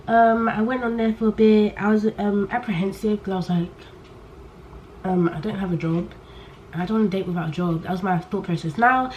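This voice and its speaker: A young woman talks straight to the camera, loud and unfiltered. She keeps restarting and wandering off her point. Her voice sits in a middle pitch, over a faint hiss from poor audio. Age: young adult. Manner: rambling and candid, trails off and restarts, loud on purpose. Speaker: vlogger. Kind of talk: casual chat to camera.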